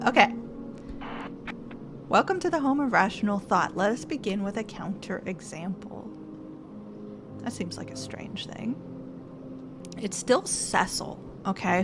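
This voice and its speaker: A woman streaming a puzzle game, chatting casually, thinking out loud and reading the game's text aloud. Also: medium pitch. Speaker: gaming streamer. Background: game music.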